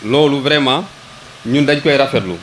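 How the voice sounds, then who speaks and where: A man with a Senegalese accent delivers a formal ceremony address in a medium-pitched voice. He feels his way through sentences, doubling words as he goes. A faint ambient hum sits behind the voice. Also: normal speed, fairly bright tone, low-quality recording. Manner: hesitant, repeating words, switching between Wolof and French. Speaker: local government official. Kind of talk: public ceremony speech.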